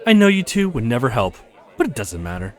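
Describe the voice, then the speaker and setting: A man's voice: medium pitch, huge pitch swings, fast talker, some chatter behind, pitched below the performer's own voice. A man voicing a stern, haughty woman professor in a visual novel, with an imperious, scolding manner. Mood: indignant, scolding. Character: stern, haughty female professor, furious at troublemakers.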